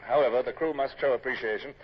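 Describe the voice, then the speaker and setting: An actor as a stern British naval captain, dull old recording. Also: male voice, mid-range voice, steady tone, quick pace, lightly acted. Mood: brisk command. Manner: crisp, formal, authoritative. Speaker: lead actor and narrator. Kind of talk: vintage radio drama acting and narration. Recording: dull, faintly noisy old radio recording.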